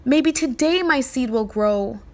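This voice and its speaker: A woman voicing an eager, hopeful poor young boy in a storybook, speaking in an earnest, excitable way. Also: high-pitched, a little slow.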